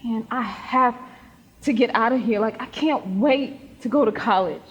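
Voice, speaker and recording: A woman playing a determined, defensive high school girl athlete in a stage play. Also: measured pace, husky, lo-fi sound. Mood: determined, weary. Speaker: stage actor. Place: theatre stage, recorded in the hall. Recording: echoey hall with long reverb.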